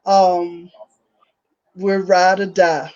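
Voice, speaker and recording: A woman from the American South with a Southern accent, telling a story slowly and deliberately as a panelist. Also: fairly low pitch, flat delivery, a little mellow, muffled recording.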